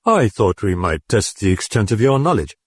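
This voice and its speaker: Calm investigating priest, read by a male narrator: low, husky, patient and measured. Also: no room sound. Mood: probing. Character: calm, probing middle-aged male priest.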